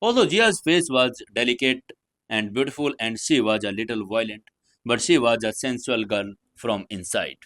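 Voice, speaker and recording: Male story narrator, bright forceful voice, dry close sound, non-native English, dramatic scripted delivery. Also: mid-range voice, animated, normal speed, no room sound. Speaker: audio story narrator and channel host.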